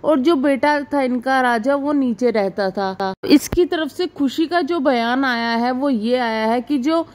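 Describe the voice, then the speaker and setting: High-pitched woman giving gossip commentary. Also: measured pace, few pauses, darkish tone. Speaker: reaction video host. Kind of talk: informal gossip commentary to viewers.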